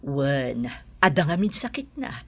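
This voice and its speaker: A woman's voice: low voice, big pitch swings, measured pace, slightly rough, heavily acted. A woman with an Ilocano accent playing a composed, authoritative, well-off mother in a radio drama.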